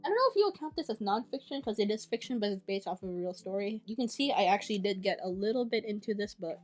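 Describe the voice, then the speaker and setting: A young woman talks straight to camera about the books she plans to read. Her voice sits in a middle pitch, and her delivery is chatty and enthusiastic. She keeps breaking off into quick asides and correcting herself. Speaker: book vlogger. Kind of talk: casual chat to camera.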